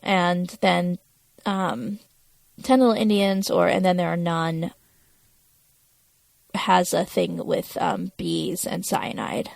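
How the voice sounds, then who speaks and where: A woman's voice: on the low side, little pitch movement, takes it slowly, vocal fry, long pauses, dry recording. A woman talking casually as a podcast co-host, chatting through a television episode. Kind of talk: casual chat about a TV episode.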